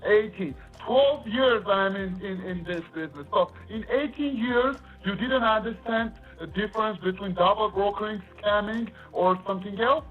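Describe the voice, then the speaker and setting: A man's voice: high voice, moderate pace, hardly any pauses, faint music behind. A man on a heated phone call, arguing combatively in broken phrases and repeating himself. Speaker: caller. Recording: tinny phone line.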